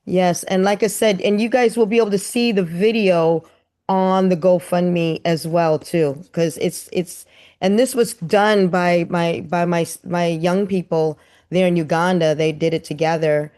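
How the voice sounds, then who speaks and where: A woman's voice: fairly low pitch, a little slow, darkish tone. A woman co-hosting in casual chat, rambling and earnest, with many fillers. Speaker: co-host and project organizer.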